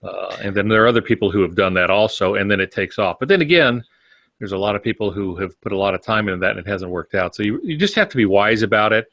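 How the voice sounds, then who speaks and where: A man on a podcast panel, giving casual advice in a measured, folksy way. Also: lowish voice, lively intonation, fairly bright tone, no room sound. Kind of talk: casual advisory discussion.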